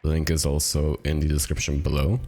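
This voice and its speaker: A young man explaining a game tactic in a relaxed, rambling way, sounding raspy and low on energy from a cold. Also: a little fast. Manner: relaxed and rambling, with many fillers. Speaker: gaming video creator. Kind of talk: casual tutorial narration. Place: home apartment.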